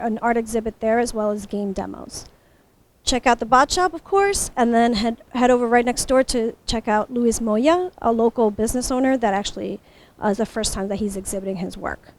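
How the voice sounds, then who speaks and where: A woman's voice: fairly high pitch, darkish tone, a little hiss or hum. A woman giving an informal guided rundown of a local event as its presenter. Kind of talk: informal event walkthrough to camera.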